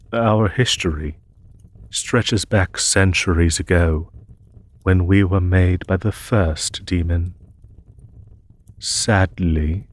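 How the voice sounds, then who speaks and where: Man voicing a slow, husky, courteous demon emperor. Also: low voice, full character voice. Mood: solemn. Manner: formal, measured, gentle storytelling. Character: ancient, courteous masked demon emperor, wistful storyteller. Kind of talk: scripted audio roleplay monologue.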